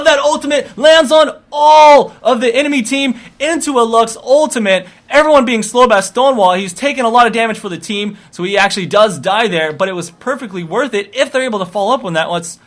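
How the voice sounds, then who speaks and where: A man giving live play-by-play commentary on a video game match, animated and rising into excited calls. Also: high voice. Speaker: esports commentator.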